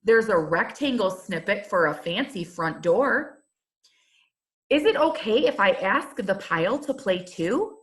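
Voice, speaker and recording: A woman's voice: medium pitch, lively intonation, unhurried, lightly acted. A woman voicing a shy, eager little paper-shape boy from a storybook, friendly and polite. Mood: helpful.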